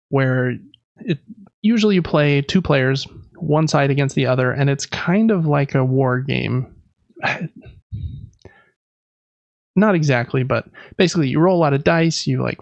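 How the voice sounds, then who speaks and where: A man's voice: medium pitch, vocal fry, a little mellow, no echo, highs cut off. A man hosting a podcast, talking casually and explaining things in an informal way. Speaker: podcast host. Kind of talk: casual podcast talk about board games.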